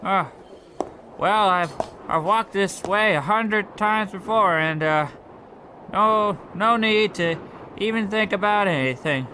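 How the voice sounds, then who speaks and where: A man performs a meek old clerk in a high, slow voice, hesitant and long-winded with earnest naivety. It is radio comedy acting, set against clearly audible street and traffic noise. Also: pushed and bright, full character voice. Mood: timid, hopeful. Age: older. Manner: hesitant, stammering, wordy and earnest. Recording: noisy outdoor street ambience with traffic.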